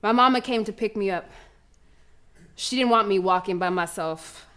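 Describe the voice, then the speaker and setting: A woman's voice: mid-range voice, normal speed, fairly bright tone, clean tone, lightly acted. A woman playing a proud young schoolgirl runner in an audio drama monologue, telling her story in colloquial, emphatic speech with dramatic repetition. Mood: subdued, grieving. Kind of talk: dramatic first-person monologue, audio play.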